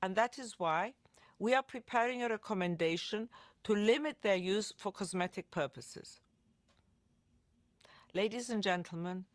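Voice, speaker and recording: A woman delivers a formal prepared address to an online audience in a measured way. Her voice sits at a middle pitch, and the recording is dry, with no room sound. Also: no room sound. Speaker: event moderator.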